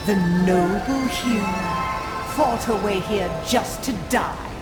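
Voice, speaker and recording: Woman playing a haughty, slow-spoken villainous sorceress. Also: medium pitch, loud music behind the voice, lightly acted. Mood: gloating menace. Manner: haughty, menacing, theatrical. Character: gloating villainous cult sorceress, imperious and cruel. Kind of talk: video game voice acting.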